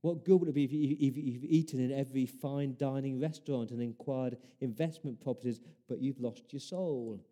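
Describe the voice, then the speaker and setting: A man's voice: medium pitch, moderate pace, hardly any pauses, warm tone. A man preaching a sermon as pastor, earnest, building his points through repeated rhetorical questions.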